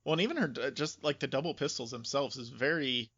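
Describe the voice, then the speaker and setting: Bright, gravelly man chatting on a podcast. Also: medium pitch, quick pace, no room sound, muffled recording. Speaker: podcast co-host. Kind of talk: casual chat about video game movies.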